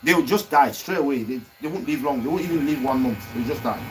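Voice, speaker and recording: A man chatting casually in a barbershop, telling lively stories and repeating words when he gets excited. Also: mid-range voice, fast, slight background noise, low-quality recording. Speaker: barbershop podcast guest. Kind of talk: casual chat.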